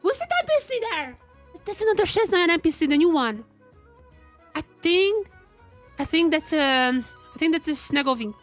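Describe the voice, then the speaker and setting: A high-pitched female streamer chats casually through a game with clear music playing behind her. She is excitable and exclamatory, voicing her thoughts as they come. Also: normal speed. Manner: excitable, exclamatory, thinking aloud. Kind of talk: live gameplay commentary, casual chat.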